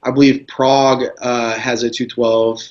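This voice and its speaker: Easygoing male guest, filler-heavy casual talk, muffled low-fi recording. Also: measured pace. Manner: relaxed, laid-back, lots of "you know" fillers. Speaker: guest pro bodybuilder. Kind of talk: casual interview chat.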